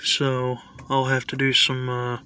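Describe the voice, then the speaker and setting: A man giving a casual, tentative show-and-tell commentary in a halting way, like a hobbyist vlogger. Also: mid-range voice, completely flat delivery, sharp tone, clear, resonant tone.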